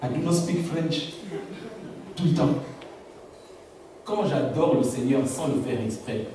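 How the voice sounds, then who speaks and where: A man's voice: on the high side, slow. A pastor preaching a sermon to his congregation. His native language is Lingala, and he keeps asking the room whether they follow him. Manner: preaching with rhetorical questions to the congregation. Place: large church hall. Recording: very echoey church hall, distant mic, faint noise.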